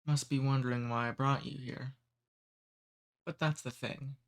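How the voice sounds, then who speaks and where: A courteous demon who abducts humans speaks softly and at an easy pace, as a man acts him out in an ASMR roleplay. He is amused and gently teasing, never threatening, and his voice sits in a plain middle register. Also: medium pitch, steady tone, a little slow, close to an everyday voice. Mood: amused cordial.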